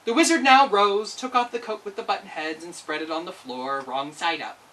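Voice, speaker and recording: A woman's voice: lowish voice, moderate pace, fairly bright tone, recorded from a distance, a little hiss or hum, poor audio. A woman narrating a children's storybook expressively as a storyteller.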